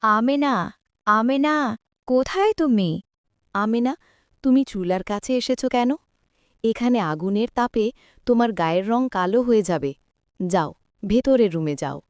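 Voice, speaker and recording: A woman's voice: fairly high pitch, expressive, measured pace, pauses often, smooth, dry recording, clean studio sound. A woman voicing a haughty, snobbish mother who scolds in a cartoon. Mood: scolding. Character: haughty, class-conscious mother from the family house. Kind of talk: cartoon dialogue.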